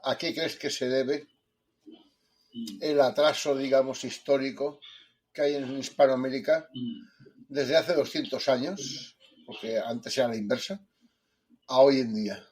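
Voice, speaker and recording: Husky-voiced male interviewer. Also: mid-range voice, steady tone, unhurried, long pauses, a little mellow, rough recording. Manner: probing, mildly challenging. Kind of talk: interview questions and remarks.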